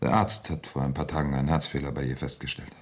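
A deep-voiced husband in a radio crime drama. He talks smoothly and evasively, with money and plotting underneath. The voice stays low. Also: level tone, fast. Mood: tense, scheming.

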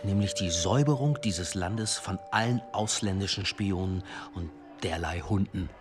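A man acting in a German radio drama as a dry, self-assured police chief inspector, speaking in a measured, formal, pointed way. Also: low voice, natural voice. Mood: firm, pointed. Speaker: actor in a radio play.

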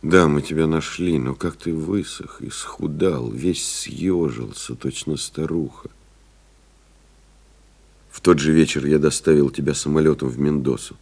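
A loyal friend narrating solemnly, deep voice, measured and rhetorical, literary radio drama. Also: male voice, a little mellow, full character voice. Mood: tender, grave. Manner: grave, measured, rhetorical, building in intensity. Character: pilot's devoted male friend, solemnly retelling his ordeal.

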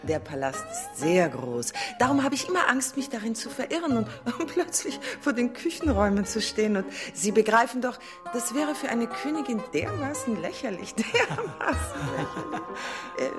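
A woman's voice: on the high side, big pitch swings, normal speed, over music. Lilting, coquettish, chattering queen. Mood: coy, giddy. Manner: hesitant, flirtatious chatter. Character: coquettish, chattering, scatterbrained queen in her palace. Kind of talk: German radio play acting.

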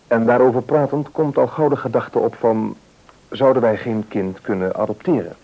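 A man's voice: mid-range voice, moderate pace, lo-fi sound, natural voice. An actor playing a hopeful, polite married man in a radio play, speaking a little hesitantly with a Netherlands Dutch accent. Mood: earnest, hesitant.

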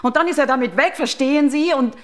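A woman's voice: sits high, fast talker, lightly acted. A woman playing a distraught, defensive doctor confessing under questioning in a crime radio play, stammering and agitated. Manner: stammering, agitated, breaking into whispers. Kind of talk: German crime radio drama acting.

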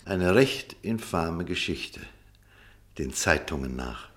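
A man playing a reserved, cynical middle-aged doctor in a German radio drama, speaking in a measured, formal way. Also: sits low, expressive, a bit bright, exaggerated voice. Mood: dismissive. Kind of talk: German radio drama acting with first-person narration.

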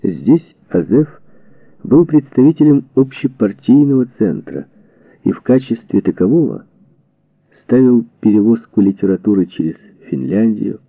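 A lowish-voiced man narrates a history audiobook in a thin, phone-like recording. His delivery is deliberate and measured, yet his pitch moves in lively, expressive swings. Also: gravelly, no room sound. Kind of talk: scripted narration of a historical book. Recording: dull phone-like narrow-band sound, dry.

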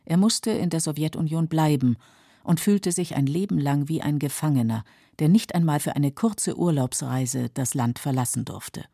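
A woman reading scripted documentary narration for a literary radio feature in a measured, factual, clearly articulated way. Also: low voice, dark tone, dry recording, clean studio sound.

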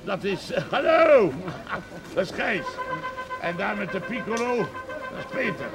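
A man's voice: sits high, big pitch swings, unhurried, full character voice, low-quality recording. An actor playing a sociable middle-aged village father in a television drama, rambling and folksy. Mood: chatty, proud. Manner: rambling, folksy, with murmured repetitions. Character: chatty, sociable village father, proud of his sons. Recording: loud band music behind. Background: brass band music.

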